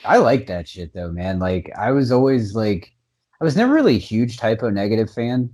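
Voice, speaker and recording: A man co-hosting a podcast in casual chat, excitable and swearing constantly. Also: on the low side, moderate pace. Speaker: podcast co-host.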